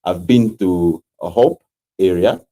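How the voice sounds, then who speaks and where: A Zambian man with a Zambian accent, a political candidate answering interview questions in a measured, earnest way with frequent hesitations. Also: low-pitched, warm tone.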